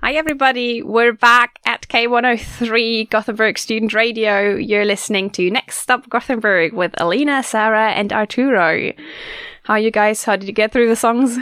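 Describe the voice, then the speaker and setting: A woman in her twenties from Germany, hosting a casual student radio chat, talking fast and loose with frequent laughs and restarts. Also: on the high side, fairly even intonation, a bit bright, smooth, dry recording, studio quality, faint music behind. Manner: chatty, laughs often, restarts sentences.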